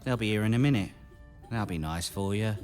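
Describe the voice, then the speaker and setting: A woman's voice: a bass voice, a little fast, a little mellow, soft background music. A woman playing a scruffy, tipsy male prison turnkey, awkward and matey, in an audio drama. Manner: casual, awkward, working-class.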